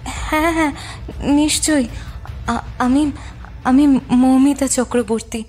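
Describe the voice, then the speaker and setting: A woman's voice: high-pitched, slow pace, a little mellow, soft background music. A woman voicing a frightened young woman in an audio drama, stammering and out of breath. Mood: shaken. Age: young adult. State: out of breath, crying.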